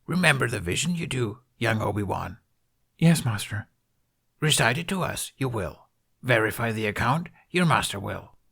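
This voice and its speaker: A man's voice: medium pitch, expressive, normal speed, gravelly, a bit bright, slightly rough, full character voice. A man voicing an ancient, wise alien master who speaks in cryptic, inverted sentences. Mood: commanding. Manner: inverted word order, cryptic phrasing.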